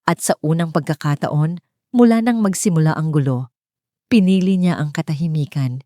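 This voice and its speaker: A Filipino woman narrates a dramatic story from a script. Her voice sits on the low side and is very mellow and dark in tone. The sound is dry and close, with no room around it. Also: moderate pace, no room sound.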